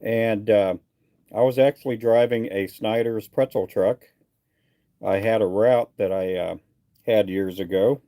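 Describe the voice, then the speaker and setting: A middle-aged man from Texas talks through viewer comments in a loose, unhurried ramble, stopping often on little fillers. His voice sits fairly low, with a gravelly edge and a dark, mellow tone. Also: fairly even intonation, no echo, studio quality. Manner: easygoing, rambling with many uh fillers. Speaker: fishing livestream host. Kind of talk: casual live chat reading viewer comments.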